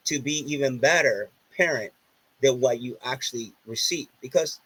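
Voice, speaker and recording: A man's voice: medium pitch, moderate pace, fairly bright tone, slightly rough, poor audio. A man from Puerto Rico whose first language is Spanish, with a Puerto Rican accent, telling stories earnestly in a casual interview and often repeating himself. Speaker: podcast guest.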